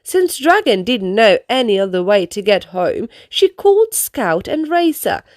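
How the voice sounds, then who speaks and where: A woman's voice: medium pitch, very animated, a little slow, no echo, studio quality. A woman reading a children's storybook aloud, telling it expressively and explaining its lessons to young listeners. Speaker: children's book reader. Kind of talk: read-aloud storybook narration.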